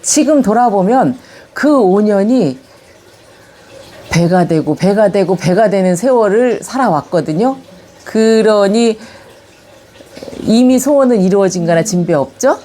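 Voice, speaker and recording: An older Korean woman with a touch of Chungcheong accent recalls her life in an interview. Her voice is mellow and soft at the edges, and her pitch rises and falls freely as she talks. She speaks in a calm, reminiscing way. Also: measured pace. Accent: Chungcheong-tinged Korean. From Korea, Yongin area of Gyeonggi. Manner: calm, reminiscing, gently animated when recalling childhood. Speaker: elderly interviewee recording a video autobiography. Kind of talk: reflective life-story interview.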